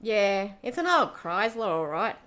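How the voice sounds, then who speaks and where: A woman's voice: medium pitch, sing-song, unhurried, fairly bright tone. A woman playing a brash, roguish space pirate queen in an amateur audio drama, with a brassy, casual manner and free swearing. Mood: surprised.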